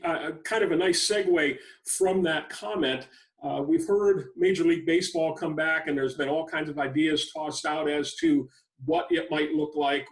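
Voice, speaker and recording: A man with a somewhat high-pitched voice hosts a sports podcast. He talks conversationally as he leads an interview and puts questions to his guest. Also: rough recording.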